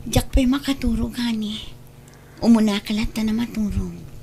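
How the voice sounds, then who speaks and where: A woman's voice: fairly high pitch, normal speed, creaky, mellow, a little hiss or hum. A woman playing a troubled, guilt-ridden wife who longs for a child in an Ilocano radio drama. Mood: weary, reassuring.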